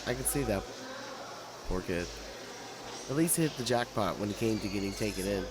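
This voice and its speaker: A man's voice: lowish voice, lively intonation, fairly quick, close to an everyday voice. A man playing an enthusiastic, caring gamer and shopkeeper in an audio drama, casual and teasing. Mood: warm enthusiasm. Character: enthusiastic, caring gamer shop owner friend. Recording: noisy background ambience.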